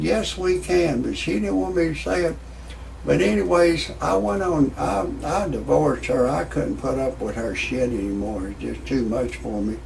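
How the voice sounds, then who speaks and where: A man's voice: on the high side, gravelly, a little mellow, slight background noise, poor audio. A man in his sixties giving a folksy, rambling amateur comedy monologue to his online audience. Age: 60s. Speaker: host of own online comedy show. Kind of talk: amateur stand-up style monologue with commentary.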